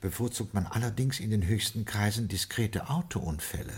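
A man's voice: fairly low pitch, normal speed, a little mellow, breathy edge. An actor playing a formal, dryly ironic butler in his late forties in a 1950s radio drama. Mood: deferential dry. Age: 40s.

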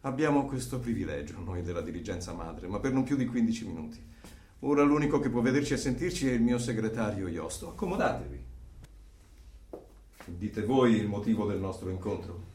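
An actor in a radio drama playing a grave leader of a secret brotherhood, with a solemn, commanding, oratorical delivery. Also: male voice, on the low side, animated, brisk, darkish tone, lightly acted. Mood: brisk, authoritative. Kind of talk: Italian radio drama acting. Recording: echoey, distant mic, faint noise.

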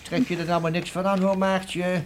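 A man's voice: high-pitched, little pitch movement, moderate pace, natural voice. An actor playing an absent-minded, soothing older professor who hesitates and repeats his words in a children's audio drama. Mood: soothing, amused. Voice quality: hesitant, stammering repetitions. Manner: avuncular, reassuring, chatty. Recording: noisy, clicking old recording.

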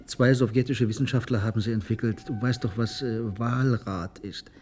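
A male actor plays an impassioned researcher in a radio drama. He lectures emphatically in a mid-pitched voice, with a cynical, sardonic edge and mistrust of humanity. There is slight noise in the recording. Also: fairly even intonation, normal speed, darkish tone, lightly acted. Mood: eager explaining. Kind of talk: German radio play acting.

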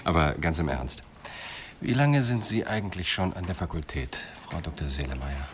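Tiefer, bedächtiger Kommissar. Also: male voice, low voice, expressive, slight background noise. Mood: calm, probing. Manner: measured, probing questions. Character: composed, probing male police detective. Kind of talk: radio drama dialogue.

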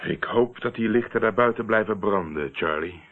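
An insistent radio-drama hero, narrow-band sound. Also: male voice, on the low side, moderate pace. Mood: defiant, probing. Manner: urgent, commanding, insistent. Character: bold, determined male hero confronting a criminal. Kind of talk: radio play acting. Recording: narrow-band radio recording, faint noise.

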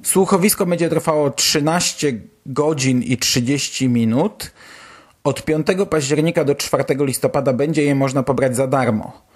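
A man's voice: a little high, moderate pace, breathy edge. Chatty Polish man hosting a podcast. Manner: colloquial, chatty, mild swearing. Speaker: podcast host. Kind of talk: casual podcast news commentary.

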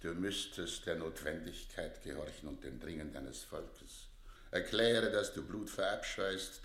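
Very deep, gravelly old nobleman. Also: fairly bright tone, reverberant, exaggerated voice. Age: older. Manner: solemn, emphatic, rhetorical. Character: frail old earl, earnest pleading royal counsellor. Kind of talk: classical German radio play acting.

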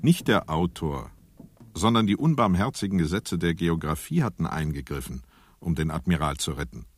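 A man narrating a radio drama as a storyteller, in a measured, scripted literary style with an ironic edge. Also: low-pitched, dry recording. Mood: measured, wry. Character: sober, wry storyteller narrating a seafarer's fate.

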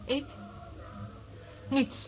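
An actress playing a weary, cynical surveillance worker in a radio play, speaking in a dry, dismissive way. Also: female voice, extremely slow, faint music behind. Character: weary, cynical woman working as surveillance eavesdropper. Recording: noisy, tinny band-limited old radio recording.

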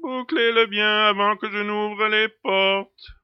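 An actor playing an excitable, long-winded factory director in an audio drama, with gushing, formal manners. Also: male voice, sits high, slow pace, smooth, dry recording, dull audio. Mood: earnest, rambling. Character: effusive, rambling, excitable male factory director. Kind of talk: French audio drama acting.

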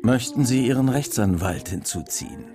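An actor playing a matter-of-fact, persistent police inspector in a radio crime drama, questioning formally. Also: male voice, medium pitch, lively intonation, normal speed, music in the background, close to an everyday voice. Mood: firm, polite.

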